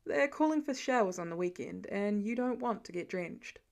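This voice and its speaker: A woman voicing a gentle, weary middle-aged father in an audiobook story, low-key and plain-spoken with a dry manner. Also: animated, moderate pace, gravelly. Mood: gentle, reassuring.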